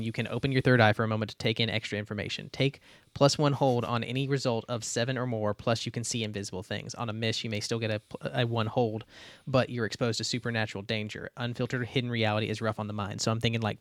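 A man's voice: mid-range voice, steady tone, fast, no echo. A man chatting casually as a player in a tabletop game, talking through his options aloud.

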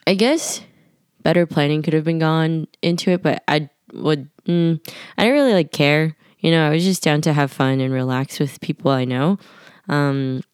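Low-voiced young woman, nasal and rambling. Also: a little mellow, clear, resonant tone, no echo, studio quality. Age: young adult. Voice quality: slightly nasal. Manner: rambling, many "like" fillers, scatterbrained. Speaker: podcast diarist. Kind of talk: casual personal voice diary. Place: home at night.

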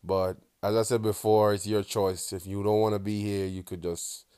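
Jamaican man, podcast host, rambling and profane, smooth voice, dry close sound. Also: on the low side, level tone, normal speed, mellow, dry recording. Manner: rambling, profane, laughs often, many "um"s. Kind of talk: casual solo podcast talk.

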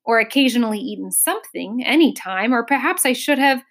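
A woman's voice: high-pitched, big pitch swings, moderate pace, voice pushed up. A woman voicing a pompous, overfed male bug in a storybook, wordy and flowery, with a wheezy delivery. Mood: queasy, wheezing. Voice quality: wheezy, gasping.

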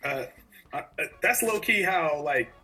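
A man's voice: a little high, lively intonation, measured pace, sharp tone, low-quality recording. A young man chatting as co-host, outspoken and slangy. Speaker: podcast co-host. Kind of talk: casual chat.

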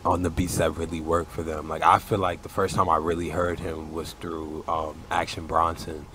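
A man's voice: very deep voice, little pitch movement, normal speed, fairly bright tone, a little hiss or hum. A man co-hosting a casual music podcast, speaking in an easygoing way with hesitant "um"s. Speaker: podcast co-host.

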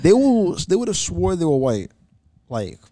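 Young American man, podcast banter; lively swooping delivery, slightly high voice, dry sound, sweary and excitable. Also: measured pace. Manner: excitable, repeats himself, swears freely. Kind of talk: casual group chat, slangy banter.

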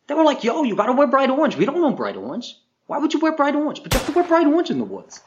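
A man's voice: very high voice, huge pitch swings, rapid-fire, dull audio, noisy background, full character voice. A man playing an exasperated, boastful famous actor on a Bigfoot hunt, complaining in a whiny, incredulous way in improvised comedy. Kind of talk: improvised comedy character monologue and banter.

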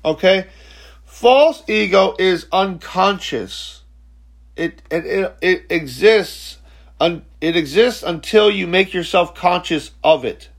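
A man in his forties giving a slow, reflective spiritual teaching talk, halting and repeating words, often checking in with "okay?". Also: high voice. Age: 40s. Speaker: spiritual speaker.

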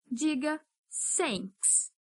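Woman teacher, encouraging, slow drills, lively pitch. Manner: patient, encouraging, drills words slowly. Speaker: English teacher. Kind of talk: podcast pronunciation lesson.

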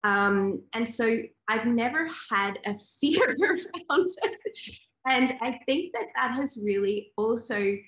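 A woman's voice: a little high, expressive, takes it slowly, fairly bright tone. A woman in her forties speaking as an interview guest, reflective and loose, with frequent restarts and fillers. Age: 40s. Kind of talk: relaxed interview talk. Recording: roomy echo.

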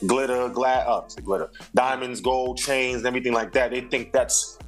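A man's voice: mid-range voice, steady tone, moderate pace, faint music behind. A man in his thirties from Brooklyn with a New York accent, a rapper being interviewed, talking in a laid-back, reflective way full of slang and fillers. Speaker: rapper and singer, guest. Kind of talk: casual interview chat.

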